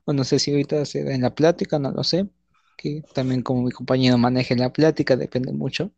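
A man's voice: medium pitch, fairly even intonation, fairly quick, vocal fry, a little mellow, no room sound, lo-fi sound. A young man, co-hosting a podcast and teasing his partner with frequent laughs. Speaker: podcast co-host. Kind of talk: casual chat.

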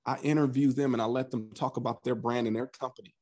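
A man's voice: medium pitch, fast talker. Earnest man telling his story. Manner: earnest, animated storyteller who voices his past self in quotes; frequent restarts and repeated words. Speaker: podcast guest. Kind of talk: casual conversational interview.

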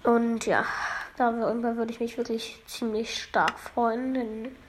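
Woman, high voice, unwell gamer, halting and apologetic while she plays. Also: level tone, slight background noise. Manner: halting, apologetic, loses her words. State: feeling under the weather. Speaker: let's play gamer. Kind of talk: casual gameplay commentary.

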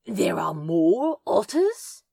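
A woman playing a bashful, grumbling flirtatious man in audio fiction, with a husky, growly voice and halting drawl. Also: fairly low pitch, very animated, dark tone, full character voice. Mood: soft, relieved. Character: male Crowley, bashful grumbling flirt with gruff edge.

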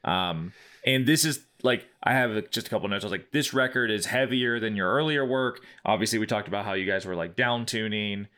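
A man hosting a casual music podcast, asking questions haltingly with many fillers and restarts. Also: fairly low pitch, pushed and bright. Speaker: podcast host. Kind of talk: casual interview chat.

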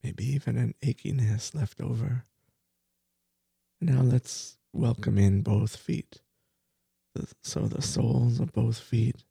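Male meditation teacher, very slow and soothing, dark husky tone, guides a bedtime body scan. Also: mid-range voice, steady tone, no echo. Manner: slow, soft, soothing guidance with repeated phrases.

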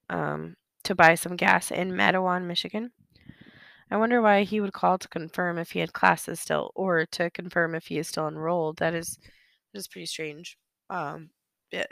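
A sick, hoarse woman hosting a solo true-crime podcast, chatty and apologetic, filling pauses with ums. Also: on the low side, fairly bright tone, dry recording. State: sick, hoarse, losing her voice. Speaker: podcast host. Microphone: close podcast microphone.

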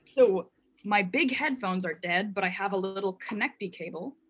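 A man's voice: high voice, normal speed, fairly bright tone, slight background noise. A young man whose first language is German, a guest comedian chatting casually and rambling playfully on a video call through earbuds. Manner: rambling, self-interrupting, playful. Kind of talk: casual podcast chat.

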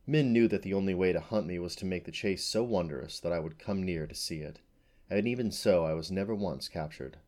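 A man's voice: sits low, brisk, a little mellow, lightly acted. A man voicing a proud, ancient female unicorn from a storybook, haughty and formal in manner. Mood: musing, regal.

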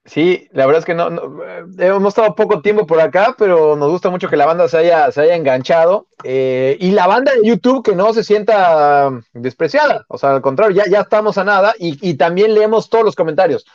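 A man with a Mexican accent co-hosting a live stream, chatting casually in a jokey, halting, conversational way on a lo-fi mic. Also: high voice, darkish tone, no room sound. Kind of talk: casual chat.

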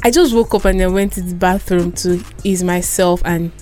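A woman's voice: lowish voice, steady tone, moderate pace, a little mellow, faint music behind. A woman chatting casually as a podcast guest. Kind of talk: casual chat.

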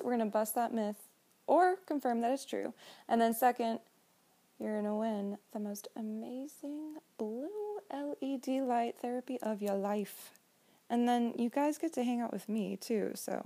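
A young woman in her twenties, an esthetician hosting a casual solo podcast, with a nasal voice and a slow, drawn-out way of talking. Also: a little high, dark tone.

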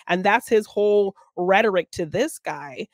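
A woman's voice: mid-range voice, unhurried, no echo, clean studio sound. A woman co-hosting a film podcast, talking casually through a discussion of a movie.

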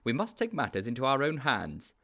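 A man's voice: mid-range voice, wildly swooping pitch, fairly quick, creaky, sharp tone, voice pushed up, full character voice. A man voicing a self-important, adventurous would-be detective in a storybook, explaining things in a pompous, emphatic way. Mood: indignant. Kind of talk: storybook character voice.

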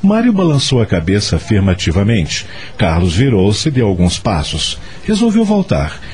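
Low-pitched male radio drama narrator. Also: huge pitch swings, normal speed, darkish tone.

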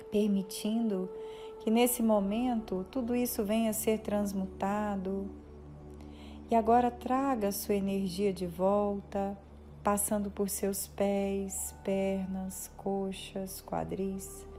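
A Brazilian woman leading a guided meditation in a soothing, instructive manner with deliberate pauses. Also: mid-range voice, dark tone. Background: music.

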